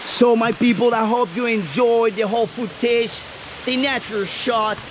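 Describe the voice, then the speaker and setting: A high-voiced man with as his talks excitedly outdoors beside a waterfall, chatty as he flies a drone. Loud hiss and rushing water sit behind his voice. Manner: excited, chatty, mixes English, Portuguese and Spanish. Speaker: vlogger and drone pilot. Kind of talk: casual outdoor vlog talk.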